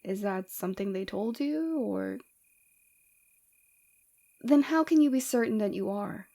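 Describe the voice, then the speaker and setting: A woman playing a flirtatious, forward rebel villainess with a secretly caring side, in a soft, teasing, intimate ASMR roleplay. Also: mid-range voice, expressive, a little slow, vocal fry. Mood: tender, concerned.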